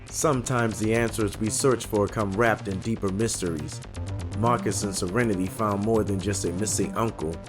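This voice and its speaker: A man hosting a story show, closing the episode in a slow, dramatic, reflective way. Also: lowish voice, over music. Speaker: host. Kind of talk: closing monologue.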